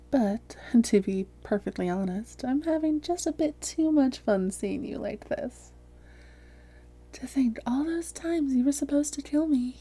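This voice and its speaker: A woman playing a haughty, seductive vampire hypnotist, breathy and often whispering, with a smug, teasing, slow and coaxing delivery in an intimate roleplay audio. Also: medium pitch, dark tone. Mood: haughty, amused. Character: haughty, seductive female vampire hypnotist toying with a captured hunter.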